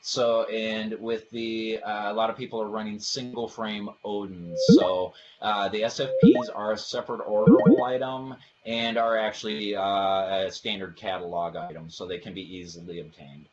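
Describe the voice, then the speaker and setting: Low-voiced man presenting a technical webinar. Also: fairly even intonation, moderate pace, hardly any pauses, darkish tone, soft background music. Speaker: product presenter. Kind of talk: webinar product presentation with slides. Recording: dull lo-fi audio, faint background noise.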